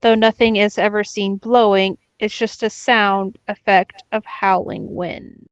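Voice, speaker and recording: A woman's voice: mid-range voice, flat delivery, a little slow, smooth, dry recording. Woman reading aloud, dry muffled sound. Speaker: co-host reading stories aloud. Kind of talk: casual story narration with brief banter.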